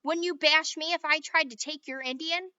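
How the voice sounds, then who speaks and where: A woman voicing an excitable, possessive young boy who talks loudly and insistently. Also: sits high, normal speed, no echo, voice pushed up. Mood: defiant. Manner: loud, yelping and insistent. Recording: dull, dry sound.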